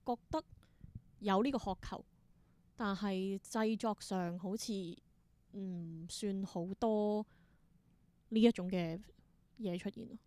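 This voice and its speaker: A woman's voice: mid-range voice, takes it slowly, pauses often, mellow, clear, resonant tone, no room sound. A woman with a Hong Kong Cantonese accent in a casual interview, thinking aloud reflectively and mixing in some English words. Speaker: interview guest, theatre performer and creator.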